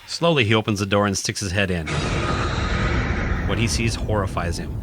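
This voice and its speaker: A man reading scripted horror narration in a dramatic, deliberate storytelling manner. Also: on the low side, fairly even intonation, background noise. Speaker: narrator, podcast host.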